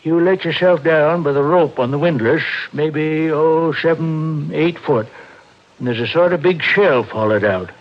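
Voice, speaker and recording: An actor playing a shifty, self-pitying old backwoods man in a radio drama, with rural American speech and a halting, evasive manner. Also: on the high side, lightly acted. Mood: reluctant. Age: older. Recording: muffled vintage radio recording.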